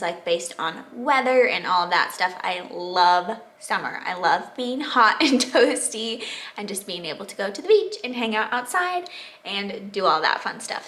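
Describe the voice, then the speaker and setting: A woman in her twenties with an American accent, chatting casually to viewers as a vlogger in a bubbly, expressive, emphatic way. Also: mid-range voice. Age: 20s. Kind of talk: casual question-and-answer chat to viewers.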